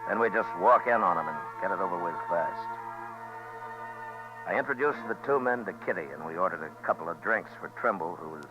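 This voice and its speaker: An American actor playing a steady, authoritative frontier marshal who also narrates a 1950s radio western. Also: male voice, low voice, a little fast. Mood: terse narration. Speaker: lead actor and first-person narrator. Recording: dull old radio recording, music.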